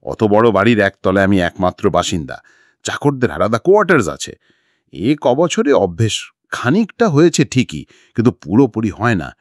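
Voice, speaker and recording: A man's voice: on the low side, very animated, moderate pace, no room sound, clean studio sound. A man voicing a nervous, talkative secretary in an audiobook, telling his tale in a wordy, dramatic, pleading way. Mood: uneasy. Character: nervous, talkative male secretary recounting a frightening night visit. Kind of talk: audiobook character dialogue.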